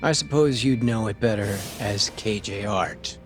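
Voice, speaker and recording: An actor playing a sly, shameless crooked cop who talks in a casual, glib way in a video game scene. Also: male voice, lowish voice, unhurried, over music, lightly acted. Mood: knowing. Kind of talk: video game cutscene acting.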